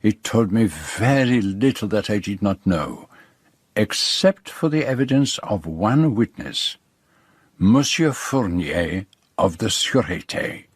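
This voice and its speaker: Casting: genteel Belgian sleuth, French-speaking accent, slightly husky, precise and self-assured, radio drama. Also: male voice, fairly low pitch, lively intonation, takes it slowly, exaggerated voice. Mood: measured narrating. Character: courteous, self-assured foreign detective, older dapper man. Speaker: lead detective and narrator. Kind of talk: radio drama acting and narration.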